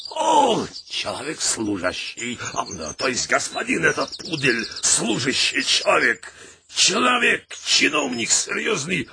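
Creaky, wildly swooping comic suitor. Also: male voice, on the high side, bright, forceful tone, heavily acted. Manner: emphatic, exclamatory, swooping delivery. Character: pompous, scheming suitor in a comic farce. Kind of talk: theatrical comic radio play acting.

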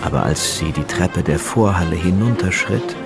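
A man's voice: low voice, moderate pace, over loud music. A man giving dramatic scripted narration for a radio play.

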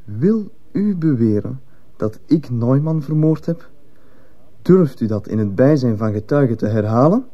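A man's voice: medium pitch, animated, a little slow, highs cut off. A man playing a cold, defiant teenage schoolboy in a radio drama, speaking curtly and defensively. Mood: defiant, accusing. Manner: curt, defensive, insolent. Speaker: actor in a radio play. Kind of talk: Dutch-language radio drama acting.

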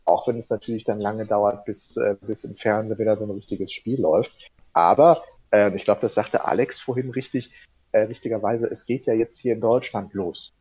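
A man's voice: on the low side, fairly even intonation, normal speed, mellow, slightly rough, dull audio. A man guesting on a casual podcast, talking eagerly and rambling with many fillers. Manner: enthusiastic, rambling, laughs into sentences. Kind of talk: casual chat.

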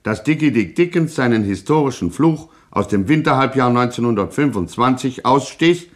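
An actor playing the storyteller of a gangster legend, narrating portentously in a mock-documentary radio play. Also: male voice, mid-range voice, animated, normal speed, natural voice.

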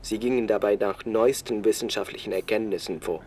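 An actor playing a sober, matter-of-fact man, giving measured, detached first-person narration in a radio drama. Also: on the low side, a little mellow, a little hiss or hum, natural voice. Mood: sober, grim. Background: music.

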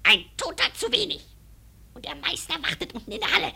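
Nasty little furry critter, gravelly squeak, very animated, clipped lines, radio drama. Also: female voice, a little fast, exaggerated voice. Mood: annoyed. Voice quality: squeaky, scratchy. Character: small furry creature, spiteful conspiring henchman. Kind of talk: radio play acting.

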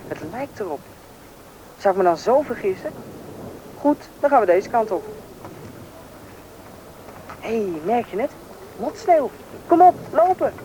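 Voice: medium pitch, big pitch swings, unhurried, long pauses, dark tone, highs cut off. A performer playing a bossy, knowing country boy, confident and impatient, in a radio drama. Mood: irritated, urgent. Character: bossy, knowing boy who grew up in the taiga. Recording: noisy old radio play recording.

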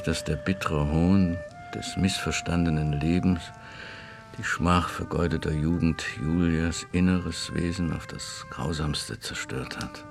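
An actor playing a loyal, dutiful middle-aged official in a German radio play, speaking in a measured, reserved, deliberate way to an old friend. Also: male voice, very deep voice, creaky, heavily acted. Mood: solemn reading.

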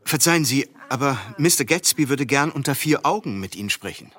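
An actor playing a formal, deferential butler in a radio play, speaking with discreet politeness. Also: male voice, mid-range voice, animated, brisk, fairly bright tone.

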